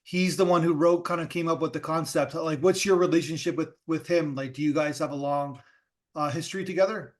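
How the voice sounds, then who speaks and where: A male podcast host with a slightly high voice chats casually with his guest. His questions wander and restart often, loose and conversational. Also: steady tone, a little fast. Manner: rambling questions with many restarts. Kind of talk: casual interview chat.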